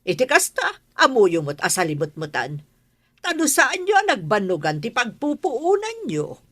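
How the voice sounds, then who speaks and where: A woman's voice: fairly high pitch, wildly swooping pitch, normal speed, a bit bright, breathy edge, no echo, full character voice. A woman acting a stern, scolding middle-aged mother in a radio drama, with an Ilocano accent and a blunt manner. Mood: stern, reproachful. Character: stern, scolding mother who distrusts her daughter's man.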